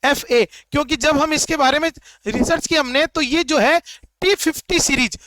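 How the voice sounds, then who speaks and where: A man's voice: very high-pitched, normal speed, bright, forceful tone, no room sound. A man teaching exam preparation, walking through answer keys with repeated phrases and rhetorical questions. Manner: repetitive, explanatory, rhetorical questions.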